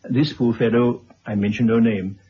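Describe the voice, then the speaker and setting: An actor playing a courtly, kindly older gentleman in a radio drama, speaking formally and hesitantly. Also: mid-range voice, steady tone, normal speed. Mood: grave, measured. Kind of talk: 1970s radio drama acting. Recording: dull old radio recording, clear noise.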